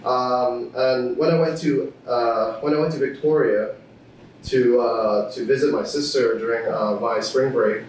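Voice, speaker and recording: A man's voice: medium pitch, fairly even intonation, a little slow, a little mellow, slight background noise. A young man from Thailand, a student guest on a podcast, talking casually with many fillers and restarts. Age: young adult. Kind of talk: casual interview chat. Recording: echoey room, distant from mic.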